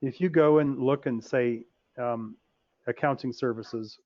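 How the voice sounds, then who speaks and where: A man co-hosts a question-and-answer call, calmly walking a caller through software step by step. His voice sits in a middle register. The audio is dull and lo-fi, with a dry sound and no room echo. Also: mid-range voice, measured pace. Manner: practical, step-by-step explainer. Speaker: co-host. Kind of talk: explaining software in a Q&A.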